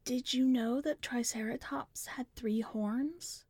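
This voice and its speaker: A woman's voice: on the high side, slow pace, darkish tone, slightly rough, no room sound, clean studio sound, pitched above the performer's own voice. A woman voicing a withdrawn, earnest little girl in a storybook, speaking in a literal, absorbed way.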